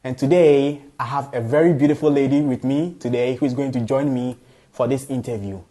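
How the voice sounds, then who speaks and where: A man's voice: medium pitch, brisk, mellow. Ghanaian man hosting a casual interview. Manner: echoes the guest's phrases back. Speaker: interview show host.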